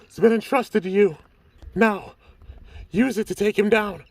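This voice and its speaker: A shrill urgent spirit ally. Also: male voice, measured pace, darkish tone, no room sound. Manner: urgent, pleading. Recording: distant mic, faint background noise.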